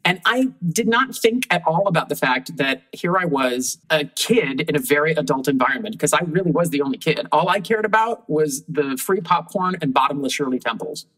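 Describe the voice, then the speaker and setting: A woman with a deep voice, a guest telling lively anecdotes in casual conversation and laughing often. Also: brisk, few pauses, slightly rough, rough recording. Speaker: guest puppeteer.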